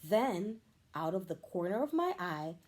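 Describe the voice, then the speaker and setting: A woman, a teacher, reading a children's picture book aloud with expressive, animated storytelling. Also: lowish voice, measured pace.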